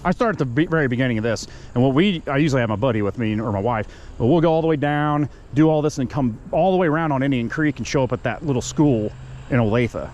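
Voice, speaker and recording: A man's voice: medium pitch, expressive, quick pace, darkish tone, lo-fi sound, a little hiss or hum. A man in his fifties chatting casually with other riders on an outdoor bike trail, friendly and rambling. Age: 50s. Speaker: vlogger riding an e-bike.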